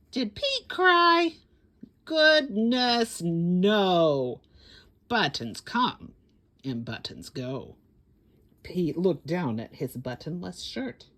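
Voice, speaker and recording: Lady doing kids story time, super slow and deliberate, big swoopy dramatic delivery. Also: fairly high pitch. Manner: theatrical, with gasps and exclamations; slow, deliberate phrasing for young children. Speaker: children's story time host. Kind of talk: animated read-aloud picture book storytelling with sung refrains.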